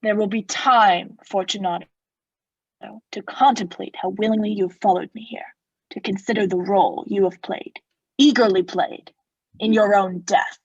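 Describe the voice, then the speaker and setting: A woman's voice: mid-range voice, fairly even intonation, normal speed, breathy edge, no echo, highs cut off. A woman acting a cold, vengeful murderer in a radio play, speaking with formal, sardonic politeness as he mocks his victim. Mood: cold, resentful.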